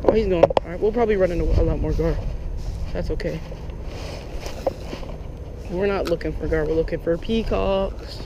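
Young male fishing vlogger, high-pitched and excitable, action-cam audio with outdoor noise. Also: normal speed, pauses often, lo-fi sound. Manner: chatty, excitable, lots of "like" and "oh my gosh". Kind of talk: casual running commentary while fishing. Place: outdoors on a lake bank in Florida. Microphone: head-mounted action camera.

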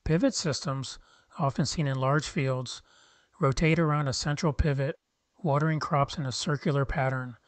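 A man reading a scripted countdown narration in a steady, measured explainer manner, recorded as a close-mic voice-over. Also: medium pitch, dry recording.

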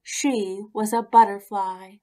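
Slow, expressive woman reading a storybook. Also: medium pitch. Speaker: children's story reader. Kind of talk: read-aloud storybook narration.

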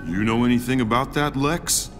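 An actor playing a determined, distrustful superhero in a video game, speaking in a brisk, blunt, heroic way. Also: male voice, medium pitch, fairly bright tone, close to an everyday voice. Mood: determined, suspicious. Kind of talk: video game cutscene acting. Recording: faint game music behind voice.

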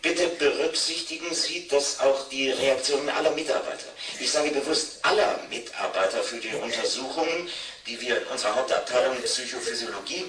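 A distant, reverberant male voice, mid-pitched, as a controlled department superior. He speaks in deliberate official language, as if through an intercom in an eighties science fiction radio drama. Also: noisy background. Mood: official, admonishing. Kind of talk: 1980s German science fiction radio play acting.

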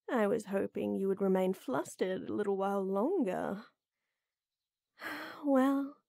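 Slow, mellow, flirty lady knight, acted by a woman. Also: medium pitch, no echo, studio quality. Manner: soft, teasing, coaxing, with breathy near-whispers. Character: tall, flirty, teasing, tender lady knight. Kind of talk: intimate roleplay audio drama.

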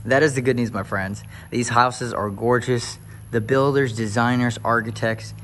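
A man's voice: medium pitch, fairly even intonation, normal speed, a bit bright, slight background noise. A man touring a new house for viewers, then preaching in an upbeat, hyped way. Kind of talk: casual house-tour vlog turning to preaching. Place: empty new house, then its covered back patio.

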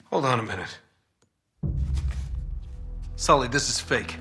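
An actor with an American accent playing a cocky, quick-tempered young adventurer in a video game, casual, blunt and wry. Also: male voice, mid-range voice, animated, sharp tone, soft background music, exaggerated voice. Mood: angry, blunt. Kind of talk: video game cutscene acting.

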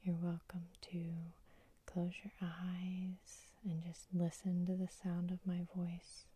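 A woman whispering a guided sleep hypnosis in a very slow, even, monotone hush. Her breathy whisper is warm and mellow, recorded dry and close with no room sound. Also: fairly low pitch, no echo. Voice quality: soft whisper. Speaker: ASMR hypnosis host.

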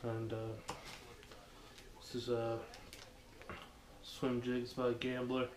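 A man's voice: mid-range voice, very slow. A young man hosting a fishing channel, talking casually with lots of fillers as he unboxes gear in a small dim living room, recorded by a camera across the room. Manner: relaxed, rambling with many fillers. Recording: echoey room with background noise.

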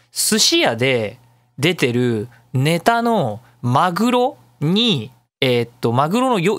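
A man with a fairly high, very animated voice hosts a casual solo radio show. He talks fast in a chatty, self-mocking way, drifting into long explanations. The sound is dry and close. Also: no room sound.

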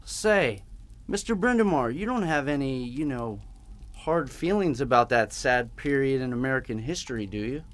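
Young traveling newlywed played by a man, medium pitch, polite, curious, a little awkward, for radio drama. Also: lively intonation, normal speed, lightly acted. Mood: curious, uneasy. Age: young adult. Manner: courteous, slightly awkward. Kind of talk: audio drama acting.

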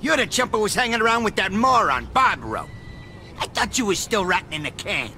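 Sneering, swooping high-voiced mobster. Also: male voice, animated, a little fast, sharp tone, faint music behind, exaggerated voice. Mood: mocking surprise. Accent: American. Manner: taunting, foul-mouthed street talk. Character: menacing, sneering mobster, cruel and cocky. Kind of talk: video game cutscene acting. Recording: clear background noise, rumbling room ambience.

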